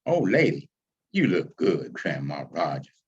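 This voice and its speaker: A man's voice: very deep voice, wildly swooping pitch, measured pace, darkish tone, lo-fi sound, a little hiss or hum, pitched below the performer's own voice, full character voice. A man voicing a friendly, respectful adult visitor, polite and easygoing, in a storybook reading.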